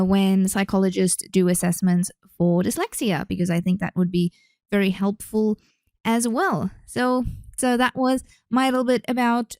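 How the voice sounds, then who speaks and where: A woman's voice: mid-range voice, darkish tone, no room sound, studio quality. A young Australian woman hosting a casual solo podcast as a speech therapist, chatty and rambling with many fillers. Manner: chatty, rambling, with frequent fillers and self-corrections.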